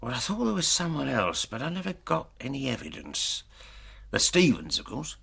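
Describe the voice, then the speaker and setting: An actor in a radio drama playing a genial, wry, self-assured retired police detective. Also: male voice, mid-range voice, wildly swooping pitch, moderate pace, gravelly, a bit bright, husky, exaggerated voice. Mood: matter-of-fact. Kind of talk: naturalistic radio drama acting.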